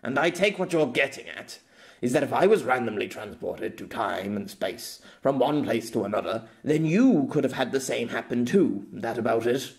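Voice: mid-range voice, expressive, moderate pace, gravelly. A storyteller voicing a patient, world-weary, sceptical man in an audio novel, reasoning in a dry, pedantic way.

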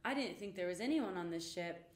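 A woman voicing an eager, friendly young penguin adventurer in a children's storybook, with excitable exclaiming. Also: mid-range voice, fairly quick, close to an everyday voice. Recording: roomy, echoing indoor sound.

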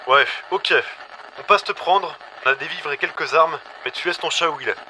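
A man's voice: a little high, normal speed, bright, forceful tone. A man playing a brash, wary survivor talking over a radio, blunt and slangy, in an audio drama. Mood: reluctant. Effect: radio filter. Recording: harsh noisy radio link.

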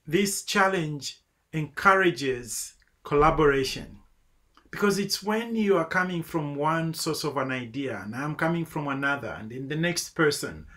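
A middle-aged man from Zambia giving earnest, measured closing remarks on a webinar video call from a home office. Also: fairly high pitch, expressive, sharp tone. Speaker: webinar speaker.

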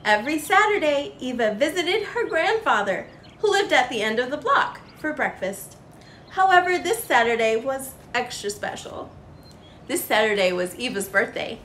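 A young woman reads a storybook to children. Her voice is high and very lively in pitch, with expressive emphasis on the words as she narrates. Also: echoey room, slight background noise. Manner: animated, sing-song emphasis. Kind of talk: expressive read-aloud storytelling for kids.

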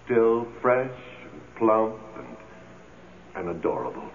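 A lovesick, coaxing ghost of a cheating husband in an old radio play. The voice is deep and unhurried, pleading theatrically. It sounds boxed-in and tinny, like an old broadcast. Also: male voice, sing-song, slight background noise. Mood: amorous, coaxing. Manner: pleading, smooth-talking, theatrical. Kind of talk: old radio drama acting.

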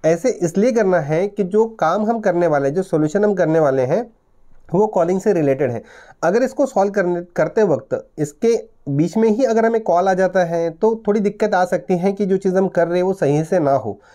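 A man's voice: high-pitched, lively intonation, normal speed, warm tone. A man in his thirties giving an explanatory tech tutorial to viewers, going step by step and repeating himself as he explains.

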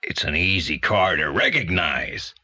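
An actor with a British accent playing an apologetic, defensive accomplice in a children's audio drama, with a rough, husky voice. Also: male voice, deep voice, unhurried, pushed and bright, heavily acted. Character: jittery, apologetic film make-up man turned lookout, harmless accomplice.

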